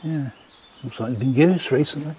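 A man's voice: mid-range voice, normal speed, a little mellow. Older Englishman, noisy handheld recording. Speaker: vlogger visiting a historic site. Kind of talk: casual walking commentary, reading signs aloud. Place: outdoors in village ruins and inside an old schoolroom. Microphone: handheld camera. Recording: handheld outdoor recording with clear background noise.

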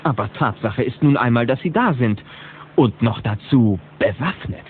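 A man's voice: medium pitch, expressive, normal speed. A man narrating a scripted children's audio drama in a dramatic storytelling style. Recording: narrow-band, hissy old cassette recording.

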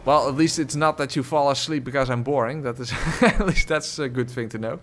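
A man's voice: medium pitch, fairly quick, a bit bright, slight background noise. A man streaming a game and chatting with viewers, talking close to a desk microphone, rambling with many filler sounds and little chuckles. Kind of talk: casual live gameplay commentary, reading chat. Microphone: desk microphone close to the face.

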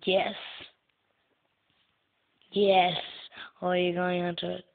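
A soft-spoken young woman hosting a casual gaming video, answering a guessing game in short words. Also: on the low side, monotone, takes it slowly, creaky. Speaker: gaming channel host. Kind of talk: casual gameplay commentary. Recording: tinny lo-fi phone-like recording.

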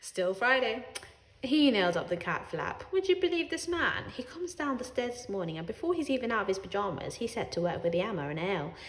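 Animated high-voiced woman reading a story. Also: brisk, reverberant. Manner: expressive, dramatic emphasis on words. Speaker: read-aloud storyteller. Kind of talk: animated children's story read-aloud.

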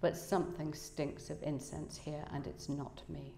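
A woman's voice: flat delivery, measured pace, gravelly, mellow, a little hiss or hum. A woman voicing a bitter, fearful runaway husband in a novel reading, speaking in a cold, accusing way. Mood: cold, sneering. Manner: low-voiced, cold, accusing. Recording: echoey room, public reading.

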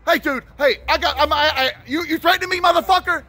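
A man with a very high, sharp voice hurls shouted, profane taunts in a heated confrontation. He is recorded on a phone camera as he films the exchange. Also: quick pace. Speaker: activist filming a confrontation.

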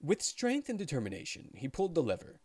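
A young man streaming, narrating and chatting casually in a playful, animated manner. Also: on the high side, quick pace, a little hiss or hum. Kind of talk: casual livestream chat and game narration.

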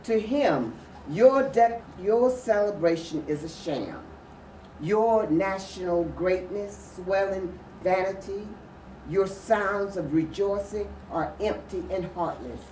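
A woman's voice: mid-range voice, slow, mellow. An older woman giving an emphatic, declamatory dramatic reading of a historic speech. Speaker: readers theatre performer. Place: furnished sitting room, indoors. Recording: roomy, distant mic with faint noise.

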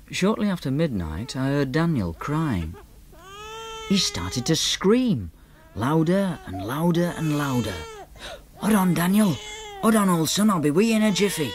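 An actor playing an awkward young man, narrating flatly in the first person and grunting replies. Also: mid-range voice, a little hiss or hum.

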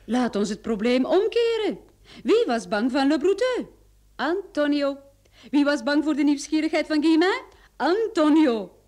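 An actress playing a sharp, confident female private detective in a radio play, explaining things in an assured, theatrical way. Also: sits high, big pitch swings, measured pace. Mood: insistent. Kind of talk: Dutch-language radio drama acting.

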